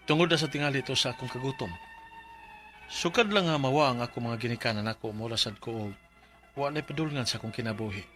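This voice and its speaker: A man's voice: mid-range voice, fairly bright tone, close to an everyday voice. An actor with a Filipino Bisaya accent playing a hard-luck, plaintive young man in a radio drama. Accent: Filipino, Cebuano Bisaya.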